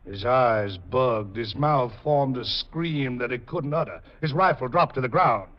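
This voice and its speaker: A man's voice: medium pitch, a little slow, loud music behind the voice, close to an everyday voice. A man with an American accent giving intense, theatrical scripted narration in a 1940s radio drama style. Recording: dull old 1940s radio recording.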